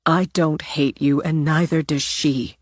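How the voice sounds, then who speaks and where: A woman voicing a calm, firm older grandmother who speaks in a measured, steady way. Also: deep voice, no room sound, clean studio sound.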